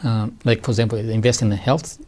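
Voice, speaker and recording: A middle-aged man, answering interview questions in halting speech full of ums. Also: lowish voice, fairly even intonation, a little mellow, slight background noise. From East Timor. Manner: halting, many ums, non-native grammar. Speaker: community guest.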